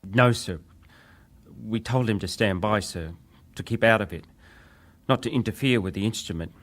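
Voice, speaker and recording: An actor playing a ship's wireless operator, a respectful but defensive witness giving clipped testimony in a dramatised hearing. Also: male voice, lowish voice, moderate pace, a bit bright. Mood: defensive, firm. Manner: clipped, respectful, matter-of-fact.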